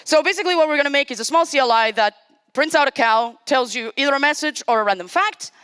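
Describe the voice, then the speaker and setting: A woman's voice: high-pitched, fairly bright tone, clean tone, dry recording. A young woman from Portugal, giving a casual, self-deprecating live-coding talk on a conference stage. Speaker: conference speaker.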